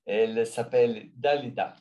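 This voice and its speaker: A man from Brazil speaking French as a learner, with a Brazilian Portuguese accent, answering interview questions slowly and hesitantly as he searches for words. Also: mid-range voice, warm tone.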